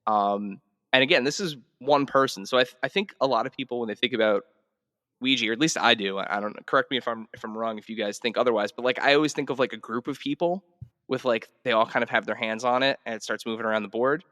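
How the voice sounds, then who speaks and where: A man hosting a casual podcast, explaining history in a halting, conversational way. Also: mid-range voice, pushed and bright, dry recording, clean studio sound, big-room sound. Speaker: podcast host.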